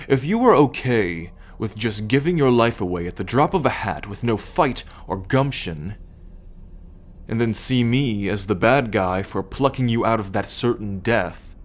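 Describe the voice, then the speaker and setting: Man acting a low-voiced, imperious alien captain. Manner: measured, imperious, formal lecturing. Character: commanding male alien starship captain, possessive, proud captor. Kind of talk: ASMR roleplay addressed to the listener.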